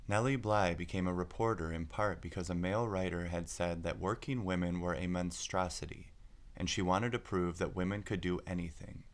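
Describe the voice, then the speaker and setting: A man narrating a picture book aloud, phrasing it clearly with deliberate pauses. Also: low-pitched, steady tone. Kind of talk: scripted storybook narration.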